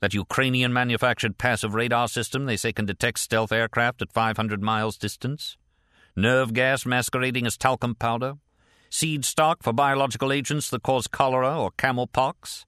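A man voicing a suspicious, mock-polite customs supervisor with a dry, deadpan interrogating manner. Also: medium pitch, moderate pace, clear, resonant tone, no room sound, pitched above the performer's own voice, natural voice. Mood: sardonic.

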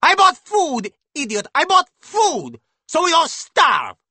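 A fierce woman with a heavy foreign accent, crowing with open scorn, as a male narrator puts her on. Her voice sits high and leaps around, bright and sharp. The sound is close and dry. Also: wildly swooping pitch, takes it slowly, no echo, exaggerated voice. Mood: scornful.

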